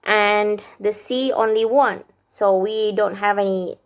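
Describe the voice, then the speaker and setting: A woman's voice: mid-range voice, fairly even intonation. A woman in her thirties with an Indonesian accent, a vlogger musing aloud about learning a language, recorded at arm's length in a small room. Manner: slow, thoughtful, musing aloud with hesitations. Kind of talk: casual language-learning vlog. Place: small indoor room with lamps behind. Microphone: camera or phone at arm's length.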